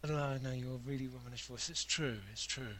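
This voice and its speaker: A soft-voiced male guest in a casual chat who is wry and self-mocking. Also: mid-range voice, steady tone, moderate pace, heavy background noise.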